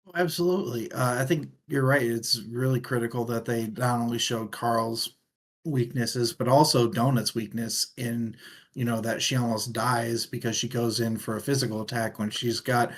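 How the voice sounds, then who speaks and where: A man's voice: mid-range voice, steady tone, normal speed. A man co-hosting a book discussion show, chatting casually and enthusiastically, with the odd swear word. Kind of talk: casual book chat, reading out listener comments.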